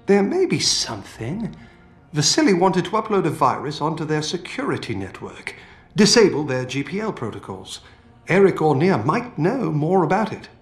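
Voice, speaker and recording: An actor playing an older British scientist in a video game, feisty and fatherly, with colourful, expressive delivery. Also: fairly high pitch, normal speed. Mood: conspiratorial. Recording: roomy game audio with music.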